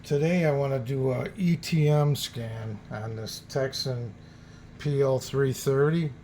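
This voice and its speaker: A man's voice: medium pitch, measured pace, mellow. A man hosting a hobby video, chatting casually and informally to his viewers. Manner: informal, folksy, addressing viewers as guys. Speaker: host of a radio hobby video channel. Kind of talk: casual vlog demonstration chat. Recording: faint background noise.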